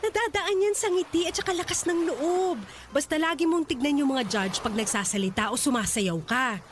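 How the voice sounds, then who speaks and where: A woman's voice: high voice, normal speed, slight background noise, lightly acted. A woman playing a bossy, pushy stage mother. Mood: coaxing. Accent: Filipino. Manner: bossy and insistent, scolding. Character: pushy, domineering middle-aged stage mother. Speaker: voice actor. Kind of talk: radio drama acting.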